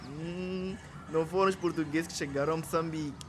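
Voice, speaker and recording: High-voiced muddled schoolboy played by a man. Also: fairly even intonation, a little fast, lightly acted. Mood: unsure, guessing. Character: muddled, hesitant school pupil guessing answers. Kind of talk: radio drama acting. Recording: faint background noise.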